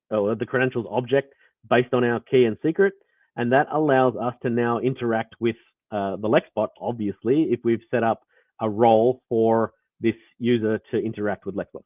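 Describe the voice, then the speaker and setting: A man's voice: medium pitch, no echo. A man with an Australian accent explaining code in a rambling live walkthrough, full of fillers and restarts. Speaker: developer presenting. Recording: tinny phone-like audio line.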